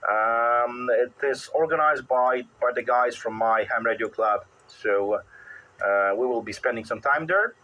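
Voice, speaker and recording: A middle-aged man with a Polish accent, chatting casually as an amateur radio operator in a halting, wry, rambling way. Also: mid-range voice, sharp tone, dull audio.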